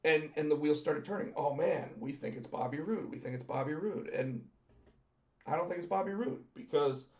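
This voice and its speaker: A man co-hosting a casual wrestling podcast, talking excitedly and repeating himself, with his voice going hoarse. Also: fairly high pitch, moderate pace. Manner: excited, talkative, repeats words when starting. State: losing his voice. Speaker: podcast co-host. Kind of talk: casual chat about pro wrestling. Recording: roomy, dull lo-fi sound.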